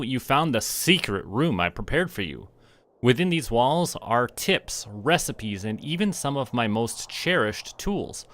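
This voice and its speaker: A man's voice: medium pitch, fairly bright tone, dry recording. A man voicing a fond old grandfather in a video game, earnestly reading out his farewell letter.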